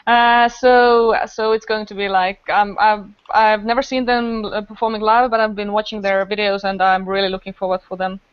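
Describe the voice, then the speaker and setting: A woman's voice: medium pitch, flat delivery, moderate pace, hardly any pauses, clean tone, dry recording. A woman chatting casually and enthusiastically on a podcast as a festival organiser, rambling as she goes. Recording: dull lo-fi podcast recording.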